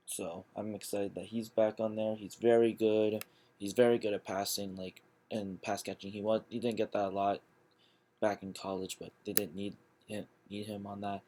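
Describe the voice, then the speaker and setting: A young man hosting a sports channel, talking through team news in a rambling, hesitant way with lots of fillers, his voice a little raspy because he is feeling unwell. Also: lowish voice, darkish tone. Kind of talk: casual sports commentary.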